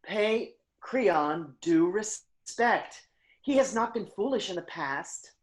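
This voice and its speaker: A woman's voice: medium pitch, lively intonation, slow pace. A woman playing a loyal, conciliatory counselor to a king in a staged Greek tragedy, speaking with earnest formality. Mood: pleading.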